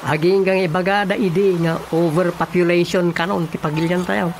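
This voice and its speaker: A performer playing a calm, patient adult child advising a worried father, with an Ilocano Filipino accent, in a radio drama comedy. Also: medium pitch, normal speed, soft background music, close to an everyday voice. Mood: concerned.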